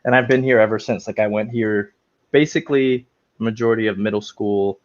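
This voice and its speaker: A man's voice: on the low side, fairly even intonation, a little fast. A young adult man, a guest actor, talking casually in a rambling way with many fillers and restarts. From United States, raised in New York and South Florida. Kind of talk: casual interview chat. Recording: slightly dull, lo-fi sound.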